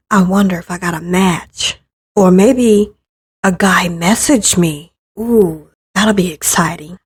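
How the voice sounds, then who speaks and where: A woman with a medium-pitched voice reads a story aloud in the first person. Her delivery is conversational and expressive, and she acts out the lines as she goes. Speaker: visual novel player reading aloud.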